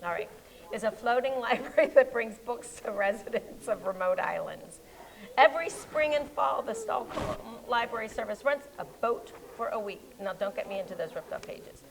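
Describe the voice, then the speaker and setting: A woman's voice: fairly high pitch, lively intonation, normal speed, gravelly, crowd noise, poor audio. A woman co-hosting a local library show, chatting casually about books and trailing off mid-word, with an American accent. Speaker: co-host, children's librarian. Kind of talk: casual chat. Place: public library.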